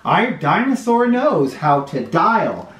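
Animated man reading to kids. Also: sits high, takes it slowly. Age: middle-aged. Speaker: children's read-aloud host. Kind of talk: animated storybook read-aloud for kids. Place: small bright living room or playroom, indoors. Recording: roomy indoor echo.

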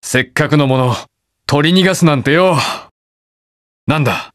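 An actor playing a stubborn, protective young masked hero in a video game, speaking curtly and brusquely. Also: male voice, fairly high pitch, moderate pace, no echo, studio quality, close to an everyday voice. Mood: grumbling, casual. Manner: curt, brusque, rough casual speech. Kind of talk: video game voice lines, battle quips.

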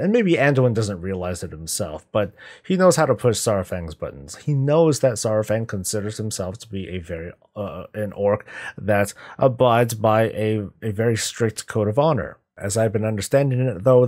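A man in his thirties hosting a reaction video, giving off-the-cuff analysis in a deliberate, emphatic way. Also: lowish voice, darkish tone.

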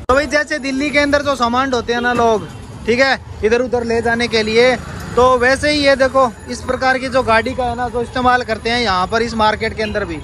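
A young man from India with a high voice gives a walking vlog tour of an outdoor market. He keeps pointing things out and repeats little check-ins to his viewers as he goes. Street traffic and loud background noise sit right under his voice. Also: steady tone, fairly quick. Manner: chatty, pointing things out, repeats "theek hai" and "dekho bhai". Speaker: travel vlogger. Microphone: handheld camera mic.

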